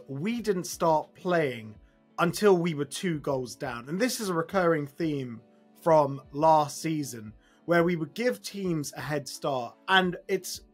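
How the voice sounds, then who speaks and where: Husky, high-pitched exasperated football fan. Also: male voice. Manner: exasperated, emphatic, blunt. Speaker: football fan channel host. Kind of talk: post-match reaction commentary to camera. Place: small room at home, indoors.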